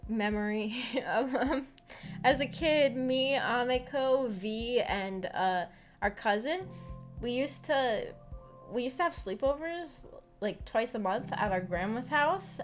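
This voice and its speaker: A young woman with a fairly high voice hosts a casual livestream. She talks to viewers in an informal, meandering way, often stopping and starting. Soft music plays behind her. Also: slow pace. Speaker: streamer hosting a visual novel book club. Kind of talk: casual livestream chat, reading and answering viewer comments. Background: background music.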